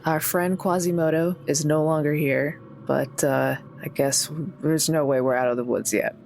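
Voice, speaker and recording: A woman's voice: sits low, steady tone, normal speed, creaky, a little mellow. A woman chatting casually and playfully as a player in a tabletop roleplaying game.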